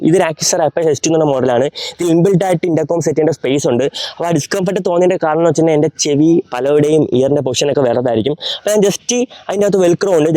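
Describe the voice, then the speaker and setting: A man's voice: on the high side, dark tone, dry recording. A young man with a Malayali accent, chatting casually through a gear review and mixing in English words. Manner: rapid, chatty, mixes English words into his speech.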